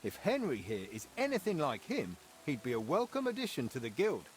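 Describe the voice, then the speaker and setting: A man's voice: mid-range voice, huge pitch swings, a little fast, a little hiss or hum. An actor playing a blunt, argumentative guild craftsman in a video game. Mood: exasperated. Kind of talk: video game dialogue acting.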